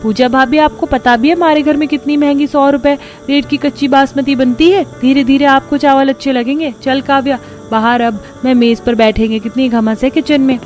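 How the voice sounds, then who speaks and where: Woman as a young cartoon sister-in-law, high pitch, breezy, haughty, music behind. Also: steady tone, moderate pace, few pauses, a little mellow, natural voice. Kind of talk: Hindi cartoon story acting.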